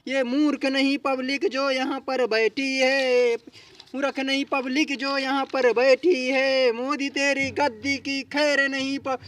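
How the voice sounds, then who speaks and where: A man at a public gathering, addressing the crowd and singing protest verses in a slow, repetitive, chant-like refrain. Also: very high-pitched, little pitch movement, a bit bright, slight background noise. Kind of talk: sung protest verses with short spoken lead-in. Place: public gathering with a seated audience.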